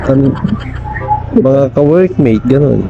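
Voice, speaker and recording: A man's voice: medium pitch, expressive, slow, vocal fry, a crowd in the background. A Filipino man chatting casually as a rider and vlogger, teasing his passenger and often chuckling. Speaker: motorcycle taxi rider and vlogger interviewing a passenger. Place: outdoors on a moving motorcycle in traffic. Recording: muffled, music over vehicle noise.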